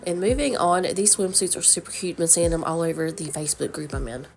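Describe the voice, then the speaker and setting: A woman's voice: fairly low pitch, fairly quick, a little hiss or hum. A woman in her thirties, stuffy from a cold, chatting upbeat about products in a casual shopping vlog voice-over. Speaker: vlogger.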